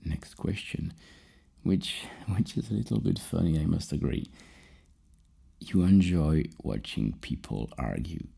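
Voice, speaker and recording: Very deep, gravelly man speaking softly. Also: expressive, measured pace. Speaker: ASMR performer playing a psychologist. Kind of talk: soft-spoken ASMR therapy roleplay.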